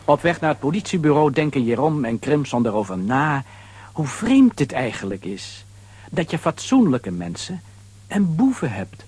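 A man's voice: fairly high pitch, sing-song, moderate pace, darkish tone, slightly rough, no echo. A man narrating a children's radio play as a scripted storyteller.

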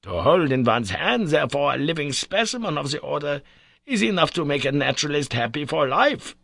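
A man voicing an exacting, excitable older male professor in an audiobook, lecturing in a precise, emphatic way. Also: a little high, a little fast, gravelly, no echo, studio quality, pitched above the performer's own voice, full character voice. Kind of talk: audiobook character reading.

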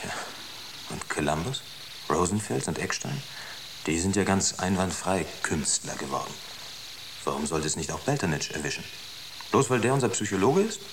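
A sceptical scientist aboard a spaceship in a radio play. He has a low voice and speaks in clipped, matter-of-fact phrases. The recording is noticeably noisy. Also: sing-song, moderate pace, long pauses. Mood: concerned, insistent. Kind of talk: naturalistic radio drama acting.

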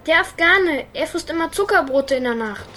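Radio play: tattletale kid, high voice, insistent. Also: female voice, expressive, normal speed, a bit bright. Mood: tattling. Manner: insistent telltale. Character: tattling, insistent child in a hospital.

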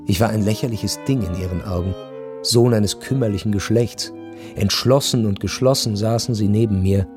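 A man narrating a first-person literary radio drama in a measured, reflective way. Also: lowish voice, over music.

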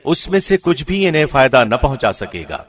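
A man reads scripture translation formally, like a radio presenter. His mid-pitched voice moves widely up and down within each sentence, and a long reverb trails his words. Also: very animated.

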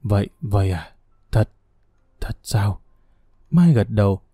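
A man voicing a dying old mother who speaks in a faint, halting whisper. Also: mid-range voice, dark tone, breathy edge, dry recording, clean studio sound. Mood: weak, tender.

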